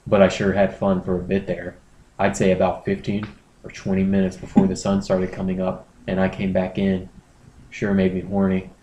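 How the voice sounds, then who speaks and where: A man plays an earnest, shameless internet confessor, quiet and matter-of-fact. His low voice reads the post deadpan for a comedy podcast, in an echoey room with some noise. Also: moderate pace, dull audio, close to an everyday voice. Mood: deadpan confession. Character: earnest, shameless male forum poster confessing. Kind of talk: dramatic reading of a forum post. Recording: roomy echo, background noise.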